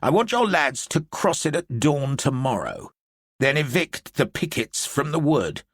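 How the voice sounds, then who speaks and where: A man reading an audiobook, voicing a blunt, irritable middle-aged British general in a gruff, brusque manner. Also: on the high side, very animated, unhurried, fairly bright tone, dry recording, clean studio sound, voice pushed up, heavily acted.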